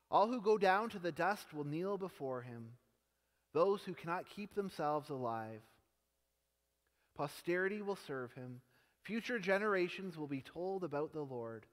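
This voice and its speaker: Young guy reading scripture slow and solemn, fairly high voice, long church echo. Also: animated. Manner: slow, measured, dropping softly at phrase ends. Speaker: scripture reader. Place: large church sanctuary, indoors. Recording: long church hall reverb.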